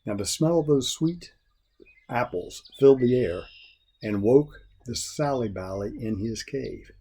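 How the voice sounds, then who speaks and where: Guy reading a kids folk tale, bit raspy, expressive and deliberate storyteller. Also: medium pitch. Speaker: story reader.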